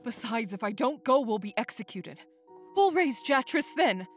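A woman's voice: a little high, big pitch swings, moderate pace, faint music behind. A woman playing a dutiful, resolute soldier in an audio drama, speaking with urgent insistence. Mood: resigned, pressing.

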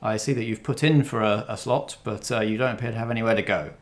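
Man playing a low-voiced, halting space traffic controller. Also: steady tone, lightly acted. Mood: officious, puzzled. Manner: polite, halting, querying. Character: hesitant, officious space port traffic controller.

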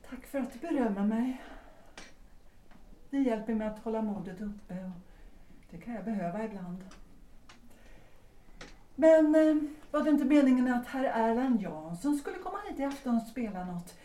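An actress playing a composed, gently probing married woman in a period audio drama, with measured, deliberate phrasing. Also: a little high, expressive, lightly acted. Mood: cool, curious. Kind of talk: stage-play audio drama acting. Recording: echoey, distant, noisy room sound.

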